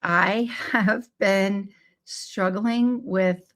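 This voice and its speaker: A woman hosting a live podcast on play therapy, hoarse from laryngitis, with a scratchy, squeaky voice and an unhurried, friendly delivery with small self-corrections. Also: fairly even intonation, fairly bright tone. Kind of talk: live-streamed podcast talk.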